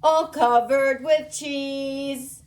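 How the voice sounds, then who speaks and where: High-voiced woman at slow-paced children's story time. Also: fairly even intonation, a little mellow. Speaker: children's show co-host and story reader.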